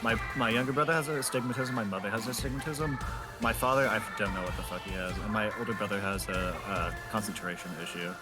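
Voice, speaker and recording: A man's voice: lowish voice, fast, over loud music. Slightly nasal man, rambling stream chat. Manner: rambling, joking, deadpan. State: getting hoarse.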